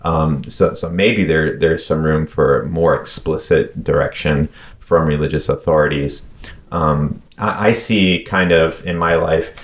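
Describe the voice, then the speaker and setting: A man in his late thirties from North Carolina speaks with an extremely deep voice. He hosts a casual conversation and lays out his thoughts in a measured way, pausing now and then with an um. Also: fairly even intonation. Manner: measured, thoughtful, with occasional ums. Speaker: podcast host.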